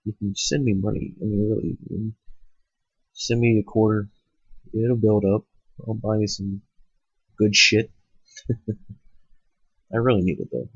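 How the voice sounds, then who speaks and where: A husky man with a lowish voice, stuffed up from a cold, rants bluntly and swears a lot, wandering through his points with plenty of fillers. It is a dull, lo-fi home recording, close and dry with no room sound. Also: flat delivery, measured pace, pauses often, dry recording. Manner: profane, blunt, rambling with fillers. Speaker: host. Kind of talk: ranting monologue. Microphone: cheap microphone. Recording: lo-fi home setup.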